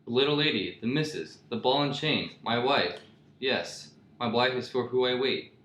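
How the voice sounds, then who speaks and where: A man's voice: mid-range voice, lively intonation, moderate pace, lightly acted. A man playing a defensive, profane, swaggering husband in a satirical radio play, emphatic and repetitive, throwing questions back. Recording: echoey room with loud noise.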